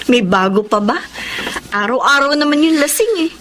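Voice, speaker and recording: A woman's voice: sits high, lively intonation, normal speed, fairly bright tone, a little hiss or hum. A woman acting a devoted, trusting young Filipino wife who narrates and plays out her story in a radio drama. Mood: sweet, proud.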